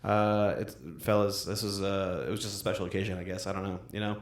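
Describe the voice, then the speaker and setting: A man's voice: low voice, level tone, fast, roomy sound. A young man hosting a casual podcast chat, rambling loosely with lots of fillers. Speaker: podcast host. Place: room recorded on video.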